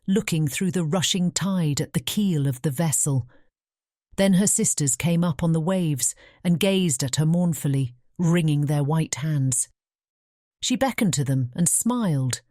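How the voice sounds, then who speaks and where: A woman narrates a storybook in a British accent. Her voice is notably low and soft-edged, dark in tone. She tells it in a measured way, recorded very dry. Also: no room sound. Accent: British English. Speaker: audiobook narrator. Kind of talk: scripted fairy tale narration.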